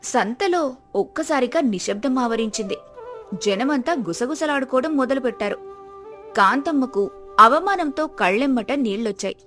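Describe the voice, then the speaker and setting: A woman's voice: high voice, animated, normal speed, fairly bright tone, faint music behind. A woman narrating a scripted moral story as a storyteller.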